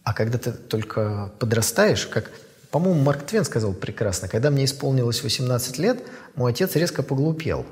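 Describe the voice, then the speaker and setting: A man in his fifties, an author, giving thoughtful interview answers in a measured way with pauses and fillers. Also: mid-range voice, animated, a little mellow, in a large hall. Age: 50s.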